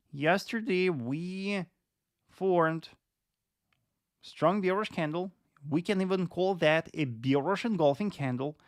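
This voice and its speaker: Slow, gravelly man explaining on a livestream. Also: on the high side, a little mellow, no room sound, studio quality. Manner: deliberate, repeats phrases for emphasis. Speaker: live trading stream host and analyst. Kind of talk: live market analysis, explanatory.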